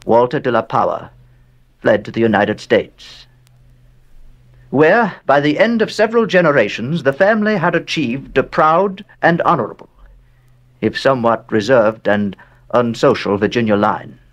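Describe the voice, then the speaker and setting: A man acting an aging, brooding gentleman telling his own gothic tale in an audio drama. His voice sits at a medium pitch, and he speaks deliberately and gravely, letting phrases hang between weighty pauses. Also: lively intonation, lo-fi sound, lightly acted. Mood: grave, ominous. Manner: measured, deliberate, solemn delivery with dramatic pauses. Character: old bereaved wealthy American man, brooding gothic narrator. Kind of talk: dramatic first-person horror narration.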